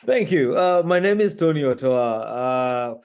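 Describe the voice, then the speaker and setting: A man from northern Uganda speaks in an average-pitched voice as a podcast guest, reflecting earnestly on his life and values. He leans hard on certain words and fills his pauses with "uh" and "um", speaking over a video call from a room lined with books. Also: animated, darkish tone, clean tone. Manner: earnest, emphatic, with many fillers. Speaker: interview guest, corporate executive. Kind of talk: reflective conversational interview. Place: room with books behind him.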